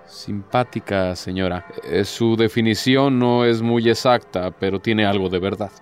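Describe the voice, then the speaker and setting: An actor playing an ancient, courtly Devil in a radio drama, a sly and despairing tempter who speaks formally and insinuatingly. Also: male voice, fairly low pitch, unhurried, darkish tone, clear, resonant tone, close to an everyday voice. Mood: sly, seductive. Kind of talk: theatrical radio drama acting. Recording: music behind the voice.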